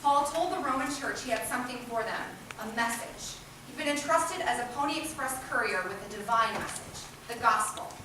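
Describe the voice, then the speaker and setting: A woman's voice: fairly high pitch, expressive, a little fast, hardly any pauses. A woman giving a scripted dramatic reading in a readers' theatre group. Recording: echoey hall, distant mic, loud noise.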